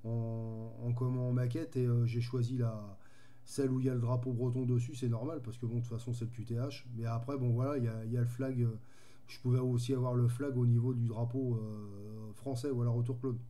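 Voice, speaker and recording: A man's voice: mid-range voice, level tone, fairly quick, mellow. A man chatting casually as a radio hobbyist, easygoing and rambling in his way of talking. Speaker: amateur radio operator.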